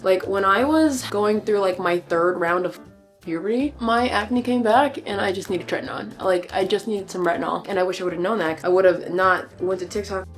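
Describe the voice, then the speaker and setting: Playful young woman vlogger chatting casually. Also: mid-range voice. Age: 20s. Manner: playful, self-deprecating, slangy, freely swearing. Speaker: lifestyle vlogger. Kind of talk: casual chatty vlog monologue to viewers.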